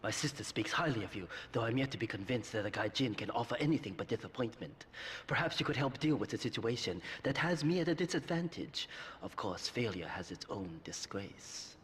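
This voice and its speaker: An actor playing a proud Yakuza brother who speaks in a formal, condescending, measured way. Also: male voice, medium pitch, animated, a bit bright, a little hiss or hum, natural voice. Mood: cold disdain. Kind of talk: video game cutscene acting.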